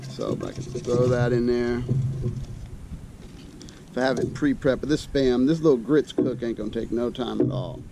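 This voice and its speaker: Loud outdoor ambience with an engine-like rumble sits behind a middle-aged American man. His voice is medium in pitch. He talks casually and colloquially, thinking out loud as he hosts a cooking demonstration. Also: fairly even intonation, normal speed, long pauses. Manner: folksy, colloquial, thinking aloud. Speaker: host of an outdoor cooking channel. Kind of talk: casual chat while demonstrating camp cooking.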